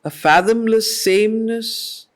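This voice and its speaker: A mature woman reads poetry and explains it to a study group in a deliberate, measured way. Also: on the high side. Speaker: study circle facilitator, teacher. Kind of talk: slow poetry reading with explanatory teaching.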